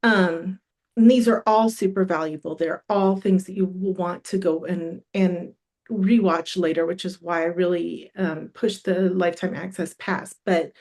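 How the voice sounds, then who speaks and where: A woman's voice: on the low side, steady tone, unhurried, darkish tone. A middle-aged woman with a cold, losing her voice, hosts a live online summit in a friendly, encouraging way with many ums and restarts. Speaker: summit host. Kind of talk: live stream announcements.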